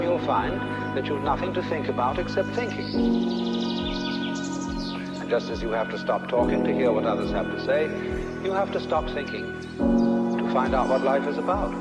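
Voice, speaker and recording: A man giving a philosophical lecture in a deliberate, explanatory manner. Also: medium pitch. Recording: echoey archival lecture under loud music. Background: loud music.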